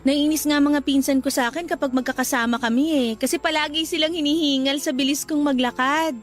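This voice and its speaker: A very high, girlish voice from an actress plays a gentle, anxious young Filipino woman. It is radio drama acting, with music running underneath. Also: fairly even intonation, fairly quick, lightly acted. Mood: friendly, light. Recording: music bed behind the voice.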